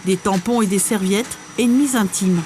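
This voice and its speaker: A woman narrating a scripted TV report, measured and informative. Her voice sits at a middle pitch. Faint background sound lies beneath the narration. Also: warm tone, slight background noise.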